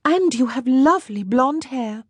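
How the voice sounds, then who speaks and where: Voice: high-pitched, expressive, unhurried, no room sound, pitched above the performer's own voice. A narrator voicing a playful, teasing young French girl in an audiobook, in a lilting, coquettish manner. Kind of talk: audiobook character voice.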